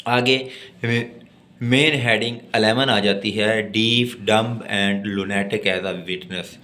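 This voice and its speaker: Slow-paced Pakistani man giving a law lesson. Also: lowish voice, steady tone, pushed and bright, a little hiss or hum. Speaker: law lecturer. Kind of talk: lesson, reading English legal text aloud and explaining it.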